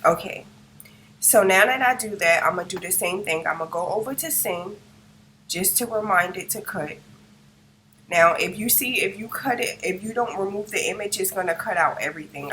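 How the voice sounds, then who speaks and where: A woman with a slightly low, hoarse voice walks through a craft design tutorial, narrating every click and pausing to ask "okay?" She records without a microphone, so faint background noise sits under her voice. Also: sharp tone, poor audio. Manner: talks through each click as she works, checking in with "okay?". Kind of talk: step-by-step screen tutorial narration. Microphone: no microphone, device's built-in mic.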